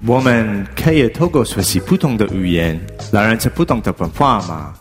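A man with a husky voice reciting a memorized passage on stage. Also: on the low side, expressive, normal speed, soft background music. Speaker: performance artist on stage.

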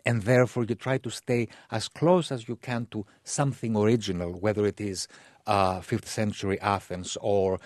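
Man, low and measured. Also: steady tone, a little mellow, no echo, studio quality. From Greece. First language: Greek. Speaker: guest, literature scholar. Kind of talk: thoughtful interview answers.

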